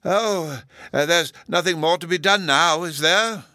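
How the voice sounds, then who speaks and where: Doddery storybook academic: high-pitched, hesitant, fussy, absent-minded, closely recorded dry sound. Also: male voice, a little slow, bright, forceful tone, no room sound. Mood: dithering. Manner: stammering, muttering, chuckling hesitation. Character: absent-minded, dithering old male professor, peevish.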